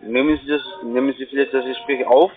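A man's voice: mid-range voice, fairly even intonation, fast. Man on a tinny phone line. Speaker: call-centre phone caller. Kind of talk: unscripted sales call.

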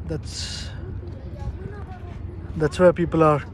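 A man's voice: fairly high pitch, flat delivery, very slow, very noisy. A middle-aged man from India, a solo travel vlogger talking chattily and with enthusiasm while outdoors on a hilltop fortress, filming on a handheld camera, with self-deprecating asides. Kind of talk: solo travel vlog narration.

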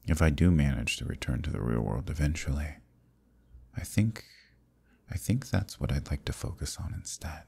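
A man playing an ancient, imprisoned eldritch villain in an ASMR roleplay, seductive and lonely, with a deep, breathy voice and an intimate, teasing manner. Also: lively intonation, moderate pace, darkish tone, exaggerated voice. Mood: wistful, teasing. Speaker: voice actor.

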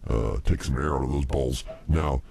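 A man co-hosting a comedy show in casual banter, his voice electronically pitch-shifted down. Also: moderate pace, creaky, mellow, slight background noise. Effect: pitch-shifted voice, lowered.

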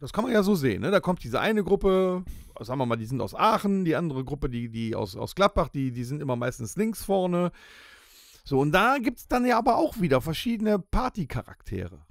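A man chatting casually as a podcast host, animated and acting out little exclamations, close on the microphone. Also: a little high, normal speed, vocal fry, no echo. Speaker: podcast host and band musician. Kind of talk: casual podcast banter about concert-goers. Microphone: close studio podcast microphone.

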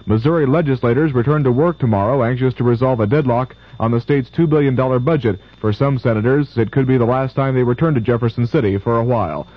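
An American man reads scripted radio news in a mid-pitched voice. The recording is dull and old, like vintage AM radio. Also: fast, few pauses, no echo. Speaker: local radio newscaster.

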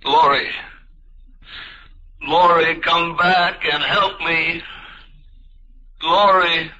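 A man's voice: fairly high pitch, fairly even intonation, drawn-out words, pushed and bright, heavily acted. An actor playing a panicked, helpless paralyzed man begging for help in a vintage American radio drama. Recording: noisy, muffled old radio recording.

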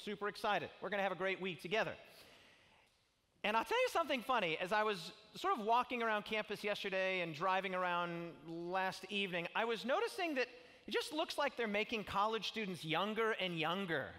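A middle-aged male pastor speaking to a campus audience from a stage, telling jokes and stories in an animated way. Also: high voice, a little fast. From not Australian, lives in Australia. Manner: animated, joking storyteller with big gestures. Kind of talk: sermon with opening prayer. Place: large auditorium stage with audience. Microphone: headset mic. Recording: auditorium with long reverb and faint music.

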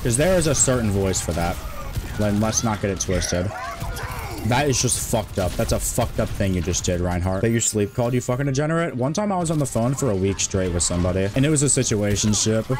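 A man in his twenties streaming a game, talking in a rambling, monotone way with many restarts. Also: fairly low pitch, brisk, over music. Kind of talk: casual stream chat.

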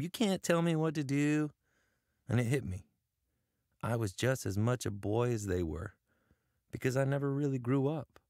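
A man's voice: lowish voice, vocal fry, warm tone, no echo. A young man narrating his own story in a scripted radio drama, in an earnest, reflective voice. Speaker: narrator and lead character. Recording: studio drama over music. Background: music bed.

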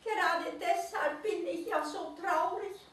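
A woman's voice: very high voice, unhurried, a bit bright, pitched above the performer's own voice. A woman voicing a small, sad male naked mole rat who whines and sobs about being ugly. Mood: self-pitying. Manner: whiny and sobbing. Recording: roomy reverb, distant mic, faint noise.

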